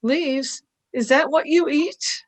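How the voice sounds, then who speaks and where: A woman's voice: high-pitched, slow. A woman playing an anxious young companion in an amateur radio play, worried and pleading.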